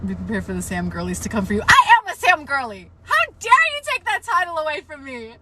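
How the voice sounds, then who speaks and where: A woman's voice: high-pitched, huge pitch swings, brisk, pushed and bright, a little hiss or hum. Chatty young woman with a strained voice. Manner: chatty, frank, swears freely, many "like" fillers. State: hoarse, losing her voice. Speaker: book livestreamer. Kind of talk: casual live-stream book chat.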